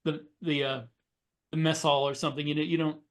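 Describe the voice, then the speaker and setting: Man, higher-than-average pitch, informal podcast chat, author on a panel. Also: little pitch movement, brisk. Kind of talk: casual podcast discussion.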